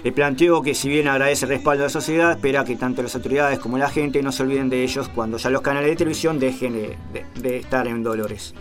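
A man hosting a radio program, reading a news report in a measured way with occasional fillers. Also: mid-range voice, fairly even intonation, over music. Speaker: radio host.

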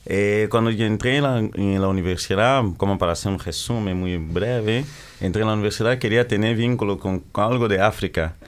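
A middle-aged man from the Democratic Republic of the Congo, giving interview answers and often stopping to correct his words. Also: on the low side. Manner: halting, self-correcting, searching for words. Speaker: guest, capoeira practitioner.

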